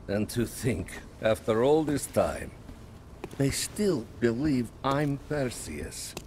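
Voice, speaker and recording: A ruthless Soviet spymaster, low-pitched and unhurried. He speaks slowly with cold, measured confidence in a game cinematic, over a faint hiss of background noise. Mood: calm, satisfied. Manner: slow, measured, coldly confident. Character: calm ruthless Soviet spymaster, middle-aged man.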